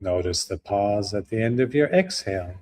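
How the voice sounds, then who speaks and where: A man guiding a breathing meditation, speaking slowly and calmly with long pauses. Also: on the low side. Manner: slow, calm, with long pauses. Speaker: meditation guide.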